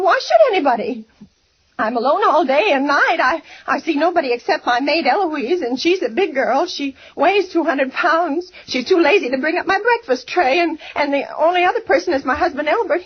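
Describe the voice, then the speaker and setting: A very high-voiced, fretful invalid wife, stammering and pleading down the phone in an old radio play. The sound is dull and lo-fi, like an old broadcast recording. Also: female voice, lively intonation, normal speed. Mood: flustered. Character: frantic bedridden woman, demanding and nervous. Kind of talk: 1940s radio acting.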